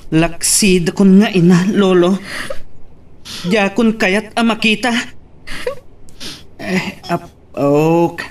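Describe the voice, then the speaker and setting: A man playing a gentle elderly grandfather in an audio drama, imploring his family to forgive. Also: high voice. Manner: slow, imploring. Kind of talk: Ilocano radio-style drama acting. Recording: distant microphone.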